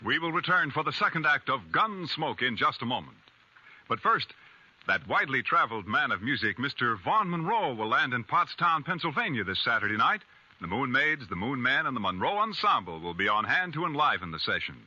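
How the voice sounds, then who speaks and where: A man's voice: mid-range voice, sing-song, brisk, bright, forceful tone, breathy edge, no room sound, soft background music. A man with an American accent reading scripted copy as a 1950s radio announcer. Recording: dull, dry 1950s radio recording.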